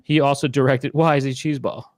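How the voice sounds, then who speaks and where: A man's voice: medium pitch, fairly even intonation, fast. A man in his thirties co-hosting a casual live online chat show from a small room at home, talking into a handheld mic in a rambling, self-correcting way. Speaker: co-host. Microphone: handheld mic with headphones.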